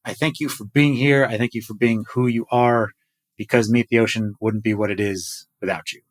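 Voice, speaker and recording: A man's voice: on the low side, steady tone, normal speed. A man hosting a casual podcast conversation, playful and upbeat with a joking manner. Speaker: podcast host.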